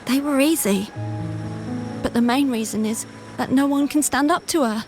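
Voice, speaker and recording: A woman's voice: sits high, moderate pace, darkish tone, lightly acted. An actress voicing a timid, desperate young vagrant girl in a video game, with a hesitant, earnest manner. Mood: bitter, hurt. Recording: studio voice under game music.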